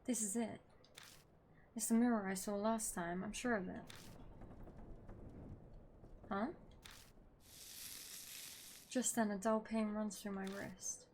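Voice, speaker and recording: A woman's voice: medium pitch, fairly even intonation, takes it slowly, long pauses, darkish tone, slight background noise. Young woman doing a chill lets play at home, reads the game text, swears a bit. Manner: chatty and swears casually, reads story text aloud. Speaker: gaming video creator. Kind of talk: casual let's-play commentary, reading game text aloud. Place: at home with her dog.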